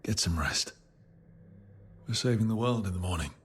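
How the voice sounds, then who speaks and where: Man playing a low-voiced, reassuring hero. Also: animated, moderate pace, lightly acted. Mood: steadfast, teasing. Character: charming, reassuring male hero, tender and steadfast. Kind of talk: intimate video game dialogue. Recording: faint music underneath.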